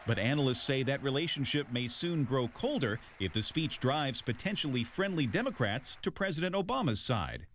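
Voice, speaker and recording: A man's voice: medium pitch, sing-song, normal speed, few pauses, a bit bright, slight background noise. A man narrating a scripted news package as a reporter.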